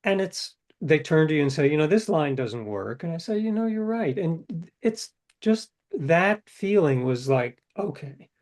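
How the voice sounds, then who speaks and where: A man's voice: a little high, very animated, a little slow, a little mellow. An older man, a novelist, telling stories in a casual interview, rambling and correcting himself, acting out quoted dialogue. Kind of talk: casual storytelling.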